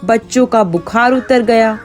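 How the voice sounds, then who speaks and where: A woman's voice: a little high, unhurried. A woman narrating a folk tale as a dramatic scripted story. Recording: faint music behind the voice.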